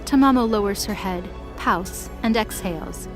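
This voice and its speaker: A woman's voice: medium pitch, normal speed, over music. A woman reading scripted narration for a dramatic mythological story.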